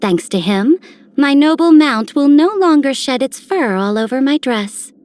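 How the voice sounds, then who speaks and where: A woman's voice: high-pitched, lively intonation, measured pace, a little mellow. A woman voicing a regal, dignified noblewoman commander in a video game, stately and formal. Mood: amused, regal. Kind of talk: video game character voice lines.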